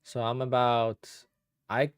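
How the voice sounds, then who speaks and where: A man's voice: medium pitch, slow pace, clear, resonant tone, no echo, clean studio sound. A man in his thirties from Poland, a day trader chatting casually and rambling as he answers viewers on a live stream. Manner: relaxed, rambling, thinks aloud with restarts.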